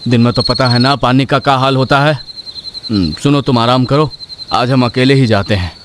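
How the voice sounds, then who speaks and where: A man voicing a hardworking village farmer husband with a Bihari accent, colloquial and reassuring, in an animated horror story. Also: mid-range voice, normal speed, slight background noise, close to an everyday voice. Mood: firm, caring. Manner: colloquial, reassuring, matter-of-fact.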